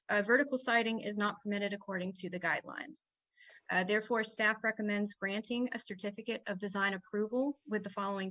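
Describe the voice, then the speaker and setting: A woman's voice: mid-range voice, a bit bright, no room sound, low-quality recording. A woman on a commission staff, giving a formal case presentation as if read from notes.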